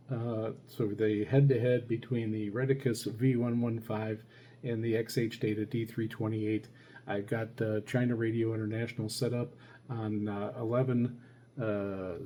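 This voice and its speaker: A man giving a casual hands-on demonstration, talking haltingly as he thinks aloud while handling gear. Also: medium pitch, warm tone. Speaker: radio hobbyist host. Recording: faint background noise.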